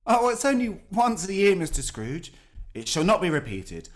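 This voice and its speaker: A man's voice: high voice, very animated, moderate pace. A man playing a meek, apologetic Victorian clerk in a radio play, nervous and stammering. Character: timid Bob Cratchit, meek apologetic overworked male clerk.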